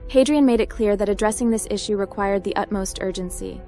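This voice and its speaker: A woman's voice: mid-range voice, brisk, warm tone, faint music behind, natural voice. A woman playing a young hacker captain in a video game roleplay, direct and inquisitive. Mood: determined.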